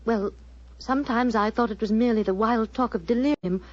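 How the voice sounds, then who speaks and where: Female actor as a genteel, worried young lady, highish voice, earnest, in a muffled vintage radio drama. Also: a little fast, close to an everyday voice. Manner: earnest, precise, theatrical diction. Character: anxious, genteel young lady client in danger. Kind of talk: 1940s radio drama acting. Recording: muffled 1940s radio recording.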